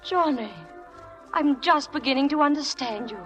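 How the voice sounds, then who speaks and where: An actress playing an earnest, trusting young newlywed wife in a 1940s radio drama, stammering when upset. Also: female voice, sits high, moderate pace, fairly bright tone, soft background music. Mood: firm, reproving. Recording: old radio broadcast recording.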